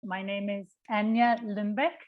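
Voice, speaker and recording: A woman's voice: medium pitch, level tone, unhurried, lo-fi sound. A woman with a Danish accent giving a friendly, conversational introduction as a workshop presenter, sometimes searching for words. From Denmark. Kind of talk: informal spoken introduction.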